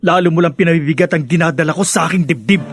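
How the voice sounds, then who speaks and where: A man's voice: fairly high pitch, very fast, loud music behind the voice, close to an everyday voice. An actor playing an aggrieved, burdened man defending himself dramatically in a Filipino radio drama. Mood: hurt, defensive.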